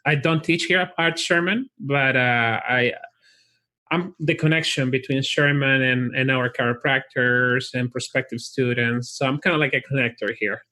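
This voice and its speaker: A man with a Chilean Spanish accent, a college recruitment director, talking in a friendly, relaxed way with frequent restarts in a casual interview. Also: medium pitch, moderate pace. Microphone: video call.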